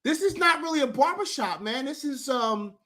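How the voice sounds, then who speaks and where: A man hosting a casual sports talk stream, giving shout-outs in a loose, profane, rambling way full of restarts. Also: very high voice, animated, moderate pace.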